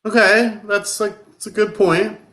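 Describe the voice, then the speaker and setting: A man playing a frustrated, incredulous novice farmer in a live stage play reading, with halting, colloquial delivery. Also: high voice, fairly even intonation, a bit bright. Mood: earnest, frustrated.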